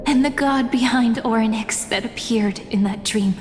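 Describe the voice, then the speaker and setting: An actress playing a playful, wistful young heroine in a game cutscene, talking in a teasing, gentle way. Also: female voice, a little high, normal speed, a little hiss or hum, natural voice. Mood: calm. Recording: long reverb with faint music.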